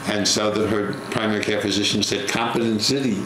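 An older man telling a personal story in conversation, halting and repeating words, recorded in an indoor TV studio. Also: lowish voice, fairly even intonation. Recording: roomy echo, clear background noise.

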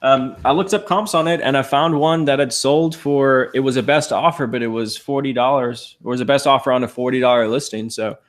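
An upbeat man in his thirties chats casually from a living room couch, holding thrift finds up to a camera set across the room. His voice sits in an ordinary middle pitch. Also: brisk, few pauses. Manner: upbeat, shows items to camera. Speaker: reseller livestream co-host. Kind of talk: casual livestream chat. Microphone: fixed camera across the room.

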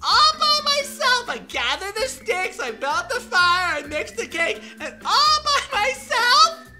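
A man voicing a fussy, hardworking little red hen in a comic storybook read-aloud, shrill, drawn-out and emphatic. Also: sing-song, over music, heavily acted. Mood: indignant, emphatic.

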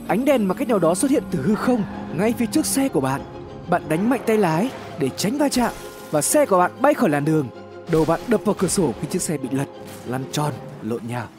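A man narrates a scripted horror explainer in a notably high voice. His delivery is dramatic and measured, with lively swings in pitch. Music plays behind him.

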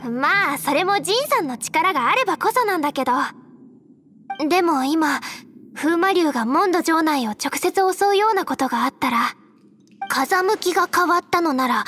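A woman voicing an earnest, energetic young female scout knight in an anime game. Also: very high-pitched. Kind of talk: Japanese anime game dialogue.

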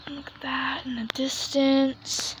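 A woman's voice: high voice, monotone, a little slow. A young woman vlogging while walking around a farm yard, chatty and often dropping to a whisper. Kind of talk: casual walk-around vlog. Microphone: handheld phone camera. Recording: noisy outdoor phone audio.

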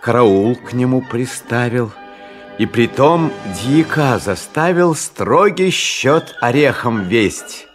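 A man's voice: medium pitch, lively intonation, takes it slowly, faint music behind. A man reciting fairy-tale verse as a storyteller, with theatrical, singsong declamation.

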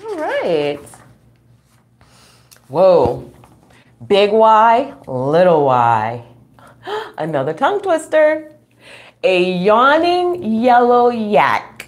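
A middle-aged woman reading a children's book aloud at home, expressive and playful, with chatty asides to young listeners. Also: mid-range voice. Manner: expressive, playful, drawn-out reading, frequent chuckles and gasps. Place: small room at home.

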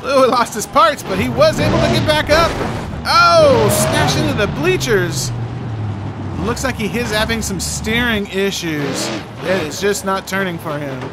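A commentator giving excited play-by-play of a video game, with exclamatory, drawn-out interjections. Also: mid-range voice, very noisy.

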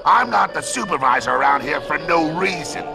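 An actor playing a grumpy, bossy camp supervisor and cook in a cartoon video game. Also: male voice, on the high side, expressive, normal speed, gravelly. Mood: irritated, bossy. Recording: loud game music behind.

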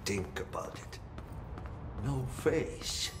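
An older Finnish janitor speaks with a thick Finnish accent and a very lively, swooping melody. He is unhurried, cryptic and folksy, like an odd game character. The room rings around him. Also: lowish voice, reverberant, very noisy, exaggerated voice. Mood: dryly amused. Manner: folksy idioms, muttered Finnish curses. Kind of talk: video game cutscene acting.

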